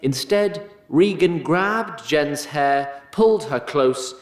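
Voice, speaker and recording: A man narrating a scripted fantasy story in an expressive storytelling manner, with a roomy sound on his voice. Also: on the high side, slow pace. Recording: roomy echo on voice.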